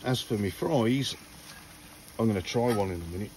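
A man's voice: fairly low pitch, moderate pace, noisy background. An older man hosting a casual outdoor cooking vlog, chatting to viewers as he cooks at a garden grill. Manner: chatty, talks to viewers while cooking, says "look" often.

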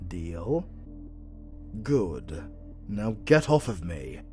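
A man's voice: low-pitched, very animated, darkish tone, soft background music, exaggerated voice. A British man playing a flirtatious, sardonic supervillain in a romantic comedy audio roleplay, drawling and teasing theatrically. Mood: sly, bargaining. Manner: slow, drawling, teasing, dramatic.

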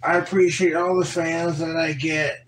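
Exasperated man, fairly high voice. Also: flat delivery, moderate pace, a bit bright, slight background noise, rough recording. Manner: exasperated, profane. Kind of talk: casual ranting.

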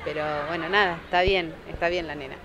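A woman in her forties with an Argentine accent, a surgical technologist speaking calmly in a street news interview, often restarting her words. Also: on the low side, a crowd in the background. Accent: Argentine Spanish. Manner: calm, measured, with frequent restarts. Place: city sidewalk outdoors. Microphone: handheld reporter microphone. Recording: faint street noise behind.

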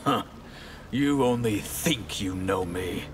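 A cocky young fighter in a fighting game whose taunting voice rises and falls a lot. Music plays clearly underneath. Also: male voice, fairly low pitch, lively intonation, slow.

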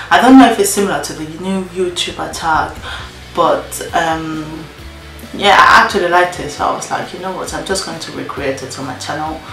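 A young woman whose first language is Spanish, chatting casually to her camera in a story-time vlog. She talks loosely, repeats her own phrases, and fills pauses with many ums. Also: low voice, a bit bright. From Spain. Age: young adult. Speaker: vlogger. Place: indoor room against a plain wall. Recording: roomy echo, faint music.

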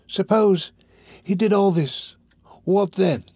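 A man voicing a sly, sinister old gang boss in a Victorian storybook, drawing out words with slow, insinuating emphasis. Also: mid-range voice, dry recording. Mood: enraged. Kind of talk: dramatised audiobook of a Victorian novel.

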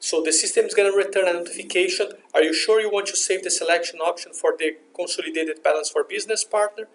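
A man narrating a software demonstration in a step-by-step, instructional way. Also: high-pitched, fast talker, vocal fry. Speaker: software demo presenter. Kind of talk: scripted software tutorial narration. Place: screen recording voice-over.

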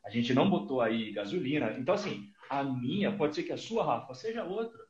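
A man's voice: mid-range voice, fairly quick. A man in his thirties with a Brazilian accent, a guest investment analyst telling stories animatedly, with a headset on a video call. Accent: Brazilian Portuguese. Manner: animated storytelling, acting out dialogues. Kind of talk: casual podcast interview. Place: small room with purple lighting. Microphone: headset over video call. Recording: echoey lo-fi video call audio.

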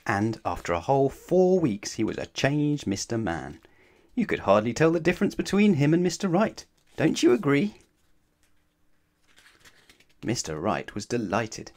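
Casting: male bedtime-story narrator, expressive and very lively in pitch, leaning on words for drama. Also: unhurried, long pauses. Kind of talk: expressive children's read-aloud.

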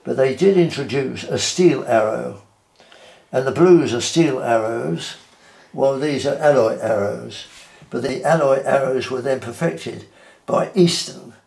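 Slow, gravelly elderly Englishman in a roomy space. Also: medium pitch, a little mellow. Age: 80s or older. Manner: halting, measured, formal courteous phrasing. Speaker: collector showing his archery collection. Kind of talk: informal guided tour commentary. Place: wood-floored indoor collection room. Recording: roomy indoor echo.

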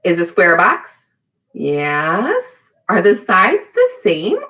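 A woman's voice: lowish voice, huge pitch swings, slow pace, sharp tone. Encouraging woman teaching preschoolers. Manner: encouraging, simple questions to young children. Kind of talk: children's storytime lesson with songs. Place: small room at home.

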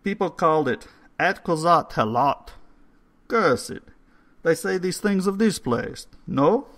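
A man voicing an old undertaker who speaks in a formal, slow and ominous way. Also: a little high, expressive, fairly bright tone, pitched above the performer's own voice, exaggerated voice. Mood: haunted. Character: old Hungarian male undertaker, courtly and eerie.

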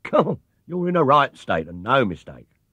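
A man's voice: mid-range voice, very animated, normal speed, pushed and bright, breathy edge, no room sound, pitched above the performer's own voice, full character voice. A man voicing a friendly, chatty working-class London cab driver. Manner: chatty and matey.

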